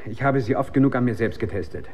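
A man's voice: medium pitch, quick pace, darkish tone, breathy edge, natural voice. A man in a German radio drama playing a tormented research chemist who is losing his grip on reality, intense and urgent. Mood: agitated, insistent. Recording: dull, band-limited radio recording.

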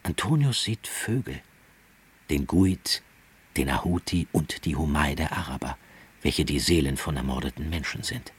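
Tiefer, eindringlicher Erzähler eines Hörspiels. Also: male voice, extremely low pitch, animated, normal speed, breathy edge, full character voice. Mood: hushed, awed. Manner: intense, incantatory, swinging from hushed to forceful. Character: grave male narrator of a visionary, nightmarish tale. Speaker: narrator. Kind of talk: dramatic literary narration in a radio play.

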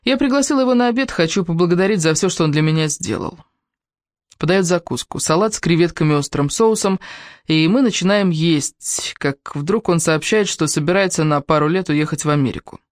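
A woman's voice: lowish voice, brisk, no room sound, clean studio sound. A woman reading a first-person novel aloud as scripted audiobook narration.

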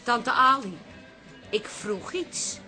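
An actress playing a suspicious, sharp-tongued café landlady with a Dutch accent in a comic radio play, sarcastic and interrogating. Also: a little high, expressive, slow pace, faint music behind, full character voice.

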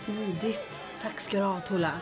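A woman's voice: medium pitch, fairly even intonation, close to an everyday voice. An actress playing a plucky, wry female resistance agent in a comedic wartime audio drama. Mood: businesslike. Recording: music and background sound effects behind voice.

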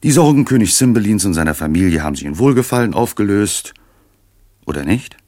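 A man narrating a radio drama as a storyteller, reading scripted prose in a measured, expressive way. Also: on the low side, no echo, clean studio sound.

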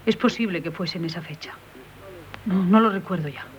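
An actress playing a distressed, dignified wife defending herself in 1970s radio theatre. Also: female voice, on the low side, normal speed, close to an everyday voice. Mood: defensive. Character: distressed, dignified wife accused of bigamy, female. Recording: dull, noisy 1970s radio recording.

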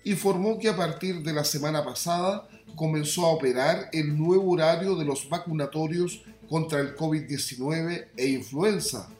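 Chilean male news presenter, fairly high voice, scripted delivery in an echoey room with music underneath. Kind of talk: scripted radio newscast. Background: music bed.

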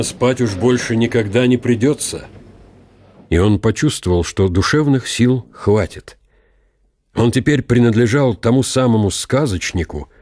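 A man's voice: lowish voice, a little mellow, close to an everyday voice. An actor in a radio play voicing the inner thoughts of a proud condemned man who hides fear behind contempt. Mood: brooding, resigned. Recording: echoing hall reverb, clear hiss.

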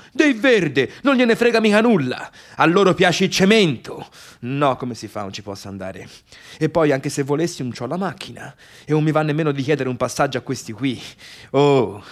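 A man's voice: medium pitch, lightly acted. An actor playing a neurotic, bitter, indecisive man in a theatrical radio monologue, muttering agitatedly to himself with a Tuscan Italian accent. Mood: grumbling, scornful, then anxious, wavering. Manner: agitated muttering self-talk, constantly contradicting himself. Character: neurotic, wavering man, bitter misfit brother obsessed with his sister. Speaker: stage actor.

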